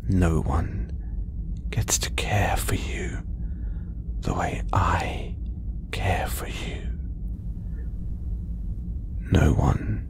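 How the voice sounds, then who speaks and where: A man playing a condescending, possessive alien trophy hunter in an audio roleplay, speaking deliberately in breathy half-whispers with long pauses. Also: deep voice, exaggerated voice. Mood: possessive, intimate. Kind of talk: slow audio roleplay monologue to listener.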